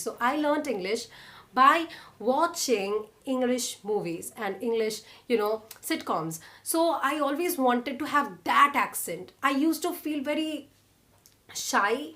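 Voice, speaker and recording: A woman's voice: high voice, fairly bright tone. A young woman with an Indian accent, telling her own story in a casual, chatty vlog and often using "like" and "you know". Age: young adult. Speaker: vlogger.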